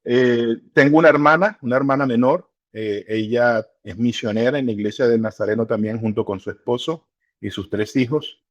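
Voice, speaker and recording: Unhurried man, dry close sound. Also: medium pitch, dry recording, dull audio. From Venezuela. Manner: unhurried, many hesitations and fillers. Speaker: church regional director, guest. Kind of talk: relaxed interview conversation.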